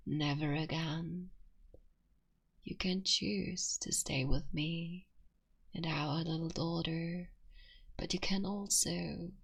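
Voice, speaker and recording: An immortal fox witch breathes her lines in a soft, intimate whisper, voiced by a woman. She speaks very slowly in a lowish, gravelly, breathy tone. She is a devoted, tender wife in an ASMR roleplay. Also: exaggerated voice.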